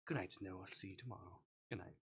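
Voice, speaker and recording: A streamer reading aloud a tender, clingy visual novel love interest, soft-spoken and intimate. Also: low-pitched, quick pace, slightly rough, voice pushed down, exaggerated voice. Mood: tender, longing.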